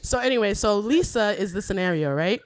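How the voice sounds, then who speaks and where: A woman's voice: medium pitch, animated, brisk, dry recording. A woman hosting a casual podcast, asking questions in a playful, easygoing way. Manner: playful, laughs often. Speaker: podcast host.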